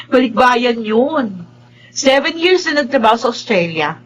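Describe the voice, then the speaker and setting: A very high-voiced young Filipino woman as the married little sister in a radio drama. She teases and nags like a born matchmaker. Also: lively intonation, slow, a bit bright, slight background noise, poor audio. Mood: teasing.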